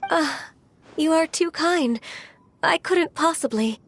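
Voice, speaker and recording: High-voiced, gentle young immortal. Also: female voice, takes it slowly, full character voice. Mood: grateful, shy. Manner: soft-spoken, polite, earnest. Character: gentle, modest, reflective young-looking female half-human adeptus. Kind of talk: video game character acting. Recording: music playing behind.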